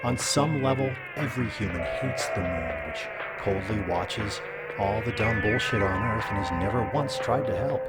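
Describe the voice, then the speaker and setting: A man playing an all-knowing abyss that gives solemn, deliberate, deadpan advice in a scripted comedy audio drama. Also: fairly low pitch, natural voice, rough recording. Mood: dry, knowing. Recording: loud music bed under voice.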